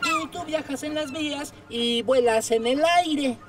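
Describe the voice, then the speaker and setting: A chirpy, curious little train-boat in a cartoon, with a high, bouncy voice. She speaks slowly and sounds set back from the mic. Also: far from the mic.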